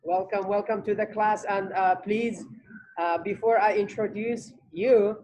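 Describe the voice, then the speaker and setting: A man's voice: sits high, fairly even intonation, a little slow, a little mellow, rough recording. A young adult man teaching an English class over a video call from home, talking loosely with many fillers and repeats. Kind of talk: casual online class talk.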